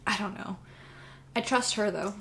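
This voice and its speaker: A woman's voice: medium pitch, moderate pace, fairly bright tone, slight background noise, natural voice. Casual, confident young woman played by an actress. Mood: relaxed, teasing. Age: young adult. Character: cocky, caring young woman, college-age masked vigilante. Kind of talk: naturalistic audio drama dialogue.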